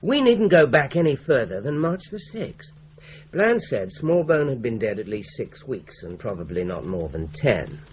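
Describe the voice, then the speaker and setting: A man playing a brisk, probing police inspector. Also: mid-range voice, very animated, lo-fi sound, slight background noise, close to an everyday voice. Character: methodical male police inspector, middle-aged. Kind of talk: radio drama acting.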